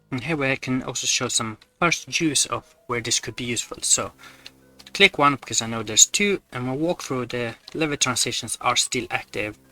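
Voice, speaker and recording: A man's voice: medium pitch, sharp tone. A man giving matter-of-fact, step-by-step commentary on video game glitches over his gameplay. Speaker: gamer presenting glitches.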